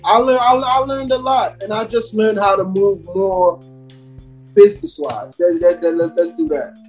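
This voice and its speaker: A man's voice: high-pitched, steady tone, moderate pace, faint music behind. A man from Haiti talking casually as a hip hop artist guest on a podcast, in slangy, repetitive, emphatic phrases.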